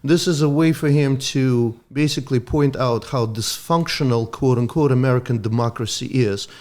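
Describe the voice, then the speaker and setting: A man from Ukraine whose first language is Russian, a doctor guest explaining things earnestly in slightly halting English. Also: medium pitch, darkish tone. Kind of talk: interview conversation.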